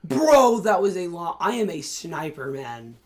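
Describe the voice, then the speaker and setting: A hoarse young man in college hosting a casual, excitable let's-play commentary with lots of fillers. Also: sits high, measured pace. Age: young adult. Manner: excitable, chatty, many fillers. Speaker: gaming channel host.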